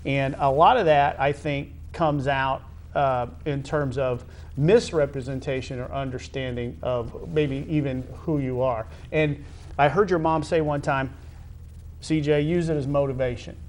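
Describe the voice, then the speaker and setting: A man of medium pitch hosts a conversational sports interview. He words his questions haltingly, rephrasing as he goes, and there is audible background noise around his voice. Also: fairly even intonation. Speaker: interviewer, show host.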